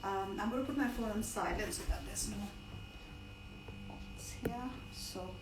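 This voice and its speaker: A woman's voice: mid-range voice, a little slow. Roomy, distant woman with a strained voice. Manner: chatty, digressive instruction with asides. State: partly lost voice. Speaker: cook hosting a live cooking class. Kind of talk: informal live cooking demonstration. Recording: roomy, distant mic with background noise.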